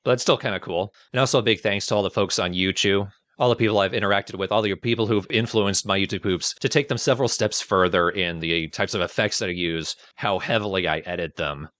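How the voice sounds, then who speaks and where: A man with a low voice speaks in a dry, close recording with no room sound. He talks informally and a little self-deprecatingly, like a video creator addressing regular viewers. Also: fairly quick, few pauses, fairly bright tone, dry recording. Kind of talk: casual personal reflective talk.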